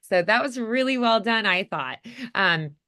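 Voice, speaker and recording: A woman with a bright, crisp, smooth voice at an average pitch. She coaches acting casually, offering effusive encouragement in a conversational way. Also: animated, unhurried.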